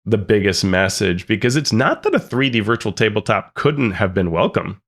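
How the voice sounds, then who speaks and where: A man's voice: lowish voice, big pitch swings, moderate pace. A man co-hosting a podcast, talking earnestly and critically with frequent restarts. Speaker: podcast co-host. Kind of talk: casual discussion.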